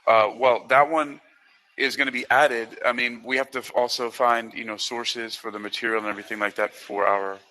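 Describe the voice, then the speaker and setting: Bright-voiced man explaining things haltingly. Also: medium pitch, flat delivery, poor audio. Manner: halting, rambling delivery with repeated phrases. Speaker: host presenting a literary certification program. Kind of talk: informal explanatory talk.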